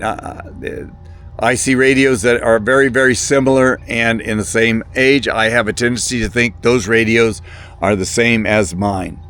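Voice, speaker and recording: Halting, rambling man with a lowish voice. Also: a bit bright. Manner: hesitant, halting, repeats words. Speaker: hobbyist video presenter. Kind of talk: casual rambling hobby talk to camera.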